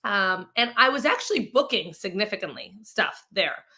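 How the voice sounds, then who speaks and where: Female guest from Mexico, bright forward voice, medium pitch, informal conversational storyteller. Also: expressive, moderate pace. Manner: chatty, self-quoting, informal. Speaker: interview guest, actress and film producer.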